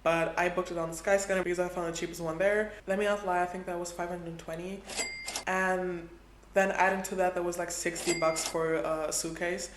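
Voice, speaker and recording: A man's voice: sits high, level tone, fairly quick, background noise. A man in his twenties chatting casually in a travel vlog, filling his talk with "like". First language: Portuguese is his second language. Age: 20s. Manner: chatty, heavy use of "like". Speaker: travel vlogger. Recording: echoey room with faint ambience.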